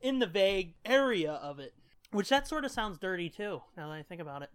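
A man co-hosts a casual podcast with a bright, animated voice that swings widely in pitch. He jokes crudely and swears freely as he chats. The sound is dry and close. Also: fairly quick, clear, resonant tone, no room sound. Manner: crude, joking. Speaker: podcast co-host. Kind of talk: casual profane banter.

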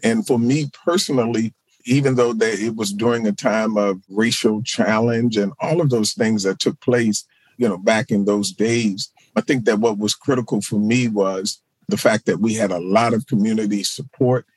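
Measured middle-aged man, low dry voice. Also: steady tone, a little mellow, husky, no echo, poor audio. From Baltimore. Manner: reflective, measured, with fillers and restarts. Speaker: pastor and social work professor. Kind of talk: interview guest.

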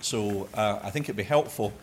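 Brief: male chair, low voice, wry and long-winded, faint hall ambience behind. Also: moderate pace, slight background noise. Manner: dry, wordy and jokey. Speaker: chair of forum session. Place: conference hall.

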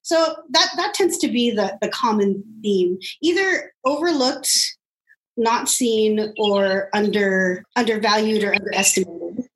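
A woman's voice: medium pitch, unhurried, low-quality recording. A woman in her forties talks as a podcast guest. Her manner is casual and chatty, and she laughs easily. Her voice sits in a middle range. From Los Angeles, United States. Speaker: guest, doctoral candidate and youth minister. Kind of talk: casual conversation.

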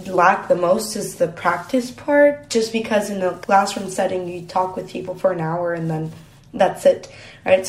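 A woman's voice: mid-range voice, darkish tone. Reflective woman in a roomy space. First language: Spanish. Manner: reflective, laughs easily. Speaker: interview guest. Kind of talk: casual interview answers. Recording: roomy echo.

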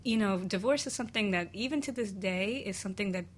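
A woman's voice: mid-range voice, fast talker, slight background noise. A woman co-hosting a talk show, chatting in an encouraging, affirming way. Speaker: co-host. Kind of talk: conversational talk show discussion.

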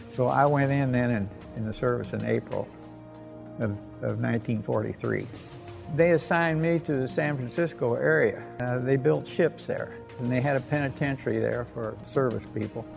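An elderly man, recalling the war in a plain, halting, rambling way. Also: mid-range voice, creaky, over music. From Evansville, Indiana, United States. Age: 80s or older. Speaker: war veteran. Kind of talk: spoken reminiscence.

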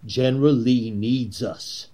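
An actor playing a zealous, persuasive Confederate conspirator in a historical drama, with a husky voice and theatrical, oratorical delivery. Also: male voice, mid-range voice, steady tone, slow pace, mellow. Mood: urgent, coaxing. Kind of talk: period historical drama acting.

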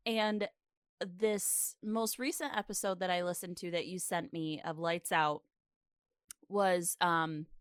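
A woman's voice: fairly low pitch, steady tone, slow, clear, resonant tone, no room sound, clean studio sound. A woman co-hosting a podcast in casual, effusive and emphatic conversation. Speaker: podcast co-host.

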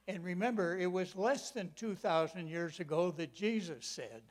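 An elderly man in his eighties delivers a sermon in a slightly raised voice. He leans hard on key words, stretching them out, then slips into quiet, homely asides and personal stories. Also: sits high, normal speed. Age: 80s. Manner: folksy personal storytelling. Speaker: preacher.

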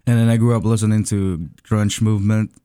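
A man's voice: lowish voice, flat delivery, brisk, warm tone, smooth, no room sound. A man from the Philippines with a Filipino accent, a native Tagalog speaker, chatting casually as a singer-songwriter guest in a radio studio. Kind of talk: casual conversational storytelling. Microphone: close studio microphone.

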